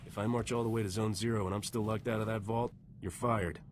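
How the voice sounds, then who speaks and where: An actor voicing a wry, cocky gunslinger smuggler captain in a video game, dry and sardonic. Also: male voice, lowish voice, steady tone, fast, noisy background, lightly acted. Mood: wry, challenging.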